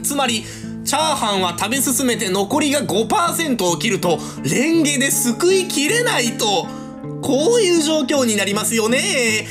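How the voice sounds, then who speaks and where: A woman's voice: high-pitched, big pitch swings, normal speed, music in the background. A woman playing a talkative piece of celery that lectures like an earnest theorist in a comic radio drama. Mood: keen, explanatory. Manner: explanatory, punctuated lecturing with polite fillers.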